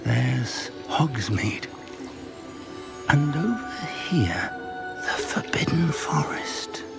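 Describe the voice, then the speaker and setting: A man's voice: medium pitch, animated, very slow, gravelly, loud music behind the voice, exaggerated voice. An actor playing a curious older male wizard professor in a video game, theatrical and full of wonder. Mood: awed. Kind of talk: cinematic video game acting.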